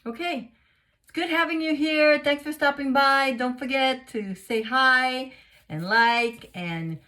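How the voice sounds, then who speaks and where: A woman hosting a casual craft tutorial, chatting through each step and a little hoarse and sniffly. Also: sits high, slow pace, bright, forceful tone. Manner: chatty, narrates each step, gushes over results. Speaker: craft video host.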